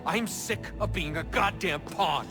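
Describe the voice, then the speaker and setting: A man's voice: high-pitched, measured pace, over music. An actor playing a blunt, frustrated young American man, a reluctant hero in a video game. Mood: angry, fed up. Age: young adult. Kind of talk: naturalistic video game acting.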